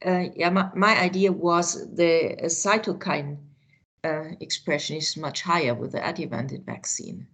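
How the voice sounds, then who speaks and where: A woman's voice: low-pitched, steady tone, unhurried, fairly bright tone. A woman from the audience asking a tentative question.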